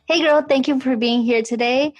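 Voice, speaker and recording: Higher-voiced woman hosting a podcast. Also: fairly even intonation, normal speed, a little mellow, highs cut off, soft background music. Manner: hesitant, heavy use of um. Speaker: podcast host. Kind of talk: casual interview.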